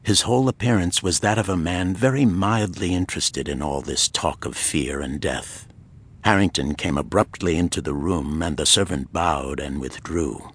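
Deep-voiced man narrating a pulp mystery. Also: normal speed, husky. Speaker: audiobook narrator.

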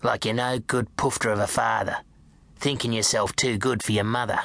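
A spiteful old grandmother, drunk and sneering, her voice gravelly and sharp-edged, with a man doing her voice. The words bite and the tone scoffs. It is close and dry, with no room around it. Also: lowish voice, fairly even intonation, normal speed, no room sound, heavily acted.

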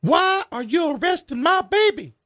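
A drunk bleached-blonde mother shrieks and wails in a very high, shrill voice. Her words slur and drag. The sound is dry and close. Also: big pitch swings, moderate pace, a bit bright, no echo, exaggerated voice. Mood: shrieking. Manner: shrill, wailing, slurred.

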